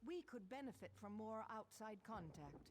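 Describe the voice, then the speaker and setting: A woman's voice: on the high side, lively intonation, normal speed. An actress playing an earnest, grateful woman who guards nature in a fantasy video game.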